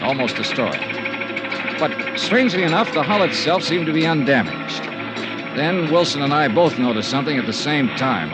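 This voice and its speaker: An American man acting a dry, wry hard-boiled insurance investigator in a 1950s radio detective drama, with clipped, deliberate delivery. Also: a little high, close to an everyday voice, low-quality recording. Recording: vintage radio recording with music behind.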